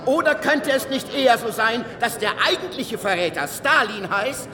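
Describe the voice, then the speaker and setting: A man's voice: very high voice, lively intonation, normal speed, in a large hall. A man acting in a radio drama as a stubborn, fiery exiled revolutionary near sixty. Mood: indignant, commanding. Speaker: radio play actor.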